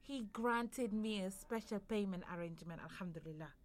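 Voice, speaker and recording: A woman playing a middle-aged, devout and loving village mother in an audio drama, tender and expressive. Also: medium pitch, moderate pace, natural voice. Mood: matter-of-fact.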